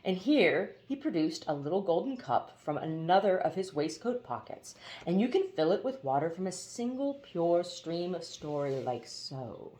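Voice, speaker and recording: A woman narrating a children's novel aloud as an expressive audiobook reader. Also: lowish voice, moderate pace, hardly any pauses.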